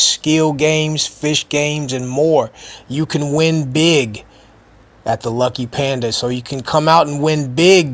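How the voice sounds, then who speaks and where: A man hosting a podcast interview, a little hoarse from a cold, with a scratchy voice. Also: medium pitch. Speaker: podcast host.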